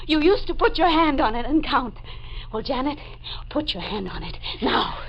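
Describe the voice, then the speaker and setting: Fragile despairing girl from old radio horror, really high voice, muffled vintage sound. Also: big pitch swings, moderate pace, slight background noise. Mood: fatalistic. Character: fragile, despairing young woman, yearning for escape. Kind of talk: 1940s radio horror acting. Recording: dull vintage radio recording with faint music.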